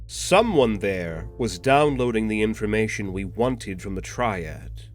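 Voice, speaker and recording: An actor playing an obedient, coldly formal henchman reporting to a superior in an audio drama, in a measured manner. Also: lowish voice, expressive, soft background music. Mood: coldly dutiful. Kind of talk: anime-style audio roleplay drama.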